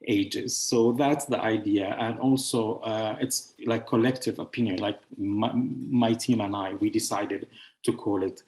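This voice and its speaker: Founder type: man, lowish voice, hesitant phrasing, echoey room. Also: fairly even intonation, darkish tone. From Syria. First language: Arabic. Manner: halting, searching for words with many fillers. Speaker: founder presenting his publishing house. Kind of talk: showcase presentation. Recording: roomy echo.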